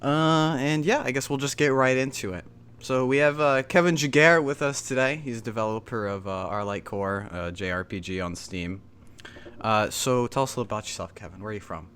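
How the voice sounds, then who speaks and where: A young man hosting a livestream interview, talking in a lively, rambling, self-deprecating way. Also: mid-range voice, fairly quick, clean tone. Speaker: host. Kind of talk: casual livestream chat. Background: faint music.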